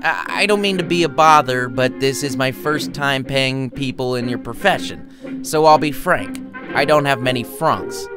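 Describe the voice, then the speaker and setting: A man's voice: medium pitch, moderate pace, over music, close to an everyday voice. A man voicing a foolish, heartbroken male student in a story, whiny and melodramatic. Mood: awkward.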